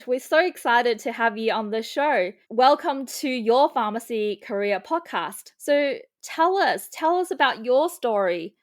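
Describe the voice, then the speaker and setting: A woman's voice: fairly high pitch, lively intonation, measured pace, hardly any pauses, no room sound, clean studio sound. A woman hosting a podcast interview, reading upbeat scripted introductions and asking questions. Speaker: podcast host.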